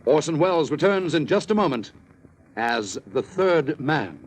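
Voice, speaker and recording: A man's voice: on the high side, big pitch swings, a little slow. A man announcing formally on an old radio show, in a declamatory style. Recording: old radio recording over music. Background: music.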